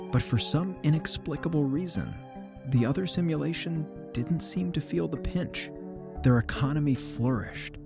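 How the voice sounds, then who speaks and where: An actor playing a weary, regretful aging man, narrating his own story in a measured, reflective way for a fiction podcast. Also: medium pitch, natural voice. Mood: bitter, reflective. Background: music.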